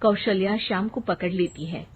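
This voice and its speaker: A woman's voice: medium pitch, moderate pace. Female narrator for a scripted story, narrow phone-band audio with faint noise.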